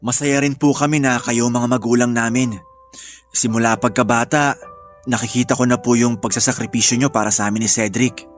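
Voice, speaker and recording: A man's voice: medium pitch, steady tone, a little fast, close to an everyday voice. A man playing a dutiful, playful eldest son who is still a student, in a family radio drama. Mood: earnest, devoted. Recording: faint music bed under voice.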